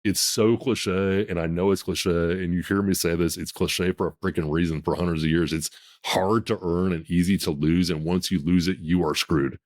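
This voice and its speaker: Deep-voiced man in his fifties, blunt. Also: steady tone, moderate pace, darkish tone. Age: 50s. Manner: blunt, emphatic, self-assured. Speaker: podcast host. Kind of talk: casual podcast conversation.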